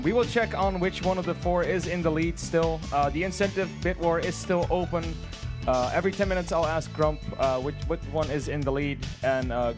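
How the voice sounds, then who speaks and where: Host guy on a charity stream, a bit high voiced, game music under him. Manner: friendly, loosely read announcements with fillers. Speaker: marathon host.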